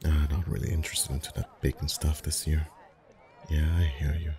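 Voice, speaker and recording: A man playing a friendly, flirty festival face painter in an ASMR roleplay, chatting in an easygoing, gently teasing way. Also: a bass voice, flat delivery, fairly quick, vocal fry. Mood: casual, teasing. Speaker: voice actor in an audio roleplay. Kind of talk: ASMR roleplay, one-sided intimate conversation.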